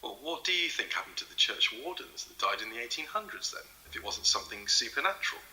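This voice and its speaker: A polite male investigator conducting an interview in an audio drama. His voice is mid-pitched, very bright and animated, with a hesitant, probing manner. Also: quick pace, poor audio. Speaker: interviewer researching a legend.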